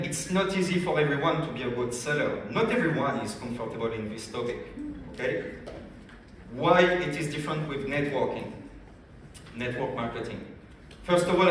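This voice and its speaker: A man from France with a strong French accent gives a lively business training talk at a convention, often asking the audience questions. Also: medium pitch, slight background noise. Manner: energetic, addresses audience directly with questions. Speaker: business development director. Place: large convention hall. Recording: echoey hall, speaker far from mic.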